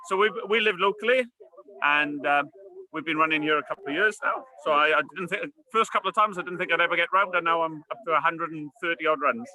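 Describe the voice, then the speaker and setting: A man's voice: a little high, sharp tone, low-quality recording. A man from Wales, a parkrun regular talking casually outdoors and explaining words with care. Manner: earnest, explaining words slowly. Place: outdoors at a park run event.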